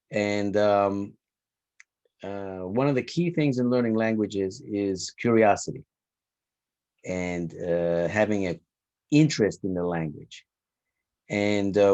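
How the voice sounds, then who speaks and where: A man whose first language is Turkish, giving classroom feedback and lecturing in a relaxed way, thinking aloud with many fillers. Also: fairly low pitch, no room sound, muffled recording. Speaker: instructor.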